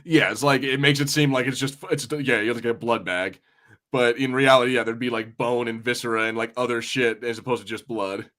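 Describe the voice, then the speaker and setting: A man's voice: mid-range voice, steady tone, brisk, a bit bright. A man co-hosting a podcast in casual film chat, rambling informally and often cutting himself off. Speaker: podcast co-host. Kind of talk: casual watch-party chat about films.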